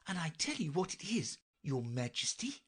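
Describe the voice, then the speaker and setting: A woman's voice: deep voice, big pitch swings, normal speed, slightly rough, pitched above the performer's own voice, heavily acted. A woman voicing a polite, earnest young girl with a slightly timid manner. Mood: earnest girlish.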